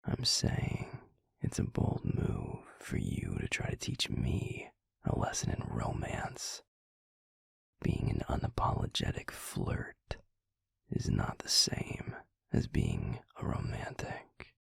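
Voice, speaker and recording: A man playing a grumpy, blunt but secretly affectionate werewolf boyfriend in an intimate audio roleplay, in a breathy, gravelly murmur with deadpan delivery. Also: extremely low pitch, slow, dry recording, whispered, clean studio sound, heavily acted. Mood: sardonic teasing. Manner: deadpan, blunt, teasing grumbling. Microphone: close mic, dry.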